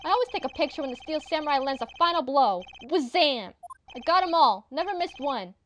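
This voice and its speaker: A woman voicing an excitable, stubborn little boy superhero fan in an amateur video game fandub. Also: high voice, fairly quick, slight background noise. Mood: boastful. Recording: music playing behind.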